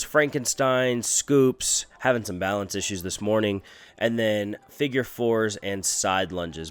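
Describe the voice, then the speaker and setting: A man's voice: medium pitch, clear, resonant tone. A young man vlogging casually with a stuffy, congested nose, upbeat and rambling with fillers. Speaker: fitness vlogger and trainer. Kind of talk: casual vlog and voice-over narration. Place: in a car.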